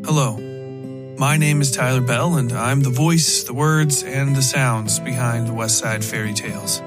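A man's voice: medium pitch, steady tone, a little slow, over loud music. A man hosting a fiction podcast and narrating horror stories in a scripted storytelling style.

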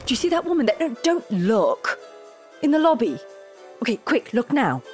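A woman playing an excitable, self-doubting wife in an audio drama, with a flustered, effusive way of talking. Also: on the high side, moderate pace, soft background music. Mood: flustered, excited. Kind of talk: naturalistic audio drama acting.

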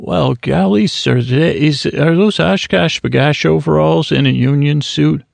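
Guy doing a fawning city slicker, high and gushy, kinda gravelly. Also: measured pace, a little mellow, no room sound. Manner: gushing, ingratiating questions. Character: overly polite male city gent, prying and fawning.

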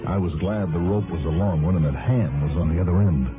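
On a dull old radio recording with music behind, a man plays a bold private investigator with a low-pitched voice. He narrates his own adventure in the style of a 1960s radio detective drama. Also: a little fast, close to an everyday voice. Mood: tense, wry storytelling.

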